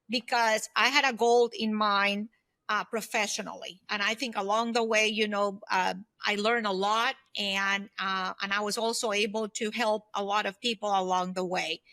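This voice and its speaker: Bright-voiced woman in her fifties, higher pitch. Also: dry recording. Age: 50s. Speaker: podcast guest, former executive turned solopreneur. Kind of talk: reflective conversational interview answers.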